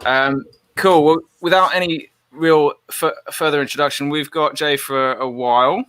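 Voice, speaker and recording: Male show host with a bright, crisp voice. Relaxed and hesitant, with plenty of "um"s, in a dry sound. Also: a little high, moderate pace, dry recording. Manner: relaxed, filler-heavy, hesitant. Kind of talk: casual show introduction.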